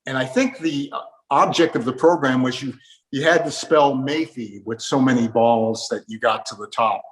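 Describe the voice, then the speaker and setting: Man reminiscing as an interviewer, mid-pitched. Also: fairly even intonation, normal speed, low-quality recording. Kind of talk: conversational reminiscence about classic broadcasting.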